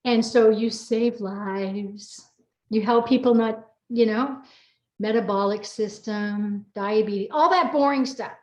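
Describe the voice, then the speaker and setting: A woman's voice: mid-range voice, slow. A middle-aged woman hosting an unrehearsed, casual chat in a chatty, informal, rambling way. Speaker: co-author hosting a personal chat. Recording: roomy, dull lo-fi sound.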